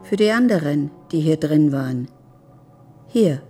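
A woman playing a calm, controlling, quietly menacing captor in a German radio drama, measured and unyielding. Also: low-pitched, soft background music.

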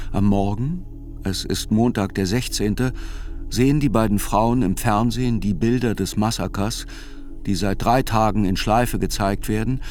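Low-voiced man narrating over music. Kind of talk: scripted literary narration for radio play. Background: soft music.

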